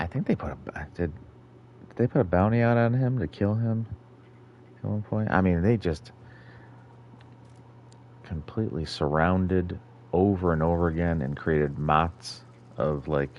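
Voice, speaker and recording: Super deep gravelly guy streaming a game, rambly and self-deprecating, loud game noise behind. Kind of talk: casual gameplay commentary.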